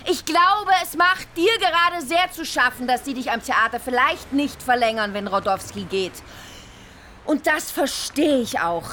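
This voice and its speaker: A woman's voice: high-pitched, expressive, a little slow, pushed and bright, slight background noise, exaggerated voice. An actress playing a wry, frank young mother in her thirties, with dry, direct, colloquial delivery in a naturalistic audio drama. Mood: fed up, angry.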